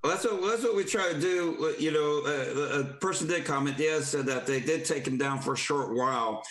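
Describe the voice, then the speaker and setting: A man's voice: on the high side, a little fast, a bit bright. Man hosting in a very echoey room. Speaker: talk show host. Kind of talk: informal political talk show.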